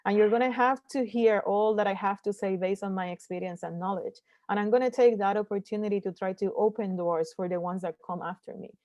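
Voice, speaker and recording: A woman from Puerto Rico whose first language is Spanish, speaking earnestly as a panelist in an online discussion, often pausing with fillers and restarting sentences. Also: medium pitch, steady tone, warm tone, no echo, lo-fi sound. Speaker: panelist, scientist.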